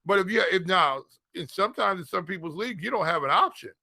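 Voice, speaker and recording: Bright-voiced man talking sports. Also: high-pitched, animated, quick pace, gravelly, no echo. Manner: colloquial, emphatic, repeats phrases. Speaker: fantasy football podcast co-host and analyst. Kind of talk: casual sports talk.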